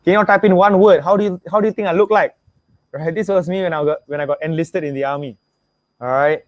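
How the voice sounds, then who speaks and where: A man's voice: fairly high pitch, fast, dry recording, muffled recording. A young Singaporean man hosting an online webinar, talking with energy, repeating himself and asking viewers to type replies. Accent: Singaporean English. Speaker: webinar host. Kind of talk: motivational webinar pitch.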